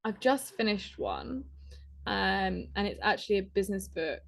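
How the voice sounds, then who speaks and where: A woman's voice: medium pitch, expressive, vocal fry. Chatty woman podcast guest. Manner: chatty, lots of "like", quick laughs. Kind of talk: casual conversational interview.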